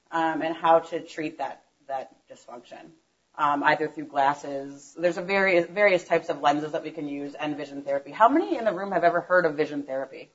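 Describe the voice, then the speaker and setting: Loud-voiced woman lecturing on a mic. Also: lowish voice. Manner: loud, chatty, self-correcting. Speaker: optometrist lecturer. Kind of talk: conference lecture. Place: conference room. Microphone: handheld microphone. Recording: dull lo-fi lecture recording.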